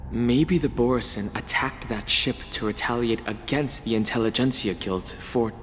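Dan Heng, a man voicing a quiet young man. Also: mid-range voice, a little slow, close to an everyday voice. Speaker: game character. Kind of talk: dubbed game dialogue. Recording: long reverb with faint music.

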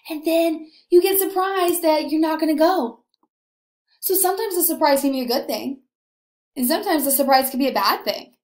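A young woman teacher leading a read-aloud lesson for young children, animated and encouraging, asking questions and pausing for answers. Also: high voice. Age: young adult. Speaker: early elementary teacher. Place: indoor room, echoey. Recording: echoey room.

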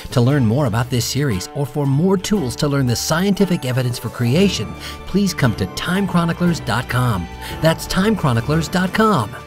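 A man reading scripted announcements and credits as the announcer of an audio drama. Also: mid-range voice. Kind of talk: scripted narration, radio drama credits read. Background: music.

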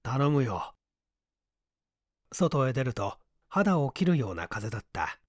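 A man's voice: medium pitch, big pitch swings, moderate pace, no room sound, clean studio sound. A man reading a literary mystery story aloud as scripted, dramatic audiobook narration. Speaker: audiobook narrator, stage actor.

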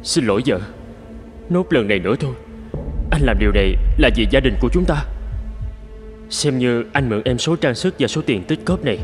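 An actor playing a naive, obsessed young husband in an animated folk tale, earnest and pleading, stammering when flustered. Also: male voice, medium pitch, a little fast, pauses often, lightly acted. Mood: guilty, hopeful. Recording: music bed under the voice.

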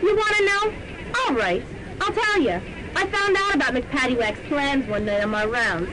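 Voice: expressive, moderate pace, over a noisy crowd. An actor playing a bossy, scheming female police sergeant villain in a student radio drama, with a commanding, gloating delivery. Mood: gloating confession. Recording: noisy amateur radio drama tape, rumbling.

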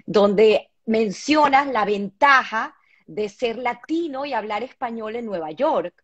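A middle-aged woman hosting an interview over a video call, asking questions in a relaxed way and reading viewer comments aloud. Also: on the high side, takes it slowly. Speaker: interviewer host. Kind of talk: casual interview. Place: home room. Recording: dull video-call audio.

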